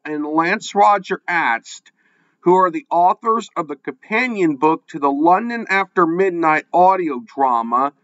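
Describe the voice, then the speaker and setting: A man's voice: sits high, a bit bright, no echo. A man hosting an interview podcast, introducing guests and putting questions to them. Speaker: podcast host. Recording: dull, lo-fi audio.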